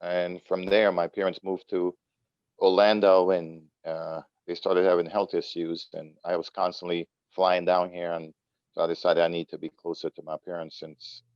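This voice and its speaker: A low-pitched, middle-aged man from Trinidad speaks in a relaxed, unhurried way, pausing often with fillers. His voice is smooth and clear, recorded dry with a slightly dull, lo-fi sound. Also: no room sound. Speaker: guest, youth soccer coach. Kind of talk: casual interview talk.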